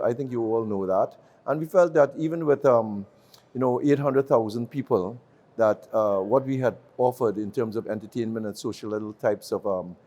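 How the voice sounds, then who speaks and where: A man's voice: medium pitch, warm tone. A man from Guyana, answering interview questions in a rambling way, full of restarts and fillers. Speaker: businessman interviewee.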